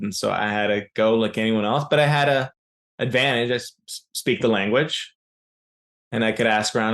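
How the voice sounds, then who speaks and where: Man in his forties, explaining steadily. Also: on the low side. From raised in Yugoslavia. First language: Serbian. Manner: explanatory, often pauses on "uh" and restarts phrases. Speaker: business founder as guest. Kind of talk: interview answers.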